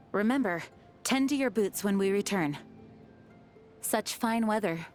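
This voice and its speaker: A woman's voice: medium pitch, close to an everyday voice. A woman playing a dutiful, earnest young knight in a video game, speaking formally and with composure. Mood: resolute, composed. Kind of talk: video game character voice lines.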